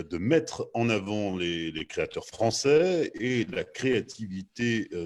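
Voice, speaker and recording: A man hosting a live panel discussion, introducing and questioning guests in a halting, hesitant way. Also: lowish voice, a little mellow. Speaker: host and moderator of a panel discussion.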